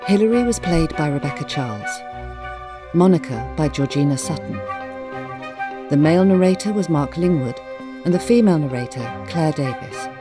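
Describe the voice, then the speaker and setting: A woman's voice: low voice, lively intonation, normal speed. A woman announcer reading scripted cast and production credits. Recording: music under the voice.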